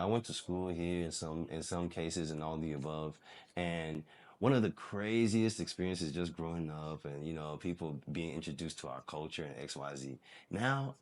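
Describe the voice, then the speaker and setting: A young man co-hosting an interview show, chatting casually and backing up his guest with lots of "man" and "bro.". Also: very deep voice. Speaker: podcast co-host.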